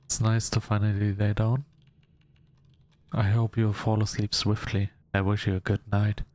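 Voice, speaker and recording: A man reading a visual novel aloud as narration, with casual asides and some halting restarts. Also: lowish voice, monotone, a little mellow.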